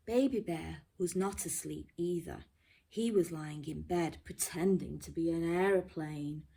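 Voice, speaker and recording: Slow, husky woman reading to children. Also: lowish voice. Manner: expressive, often drops to a hushed near-whisper. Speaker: primary school teacher reading a storybook. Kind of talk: children's story read-aloud with questions to listeners.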